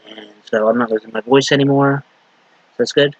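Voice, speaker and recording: A male minister at a mid pitch, delivering a sermon at an unhurried pace and pausing on fillers and restarts. A slight lingering cough shows in his voice. The recording is dry, with little room echo. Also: steady tone, muffled recording. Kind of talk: sermon with story reading.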